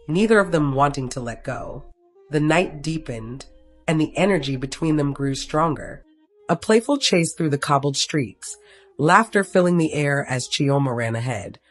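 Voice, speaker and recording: A narrator reading a scripted romantic story, telling it in the third person. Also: medium pitch.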